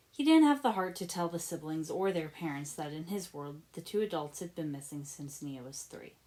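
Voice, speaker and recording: Woman, lowish pitch, story reader, expressive narration. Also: low-quality recording. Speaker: podfic reader. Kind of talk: expressive narration of fan fiction.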